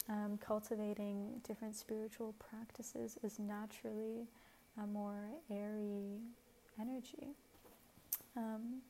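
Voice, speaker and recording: A woman with a very soft voice giving a gentle, reflective spiritual talk as a host, often pausing with fillers. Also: fairly high pitch, vocal fry, slight background noise, poor audio. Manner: gentle, hesitant, many fillers.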